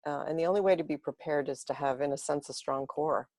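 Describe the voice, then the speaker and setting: A woman's voice: deep voice, fast, a little mellow, no room sound, studio quality. A woman guest speaking earnestly and reflectively, building long flowing thoughts in conversation. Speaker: interview guest.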